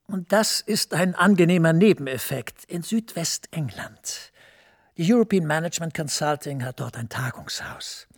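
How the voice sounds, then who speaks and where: An actor playing a smooth, persuasive senior EU official who speaks in a measured way. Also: male voice, a little high, expressive. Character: smooth senior EU director, middle-aged man, persuasive.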